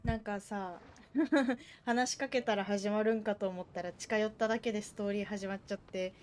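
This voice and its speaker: A woman's voice: medium pitch, fairly even intonation, rapid-fire, darkish tone. A woman livestreaming a game, chatting casually and reacting aloud as she plays. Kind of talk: casual game stream chat. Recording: game music behind. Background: video game music.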